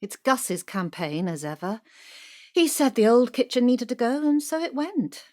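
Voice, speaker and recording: A cool, cutting, wealthy wife. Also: female voice, on the high side, animated, measured pace, a little mellow. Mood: dry. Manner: dry, clipped, withholding. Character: cool, wealthy, controlling middle-aged wife, dryly cutting. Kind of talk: naturalistic audio drama acting.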